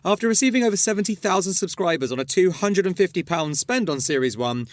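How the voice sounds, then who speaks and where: A man hosting a podcast, reading a polished intro and then asking playful, teasing quick-fire questions. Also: high-pitched, animated, no room sound. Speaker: podcast host. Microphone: studio mic.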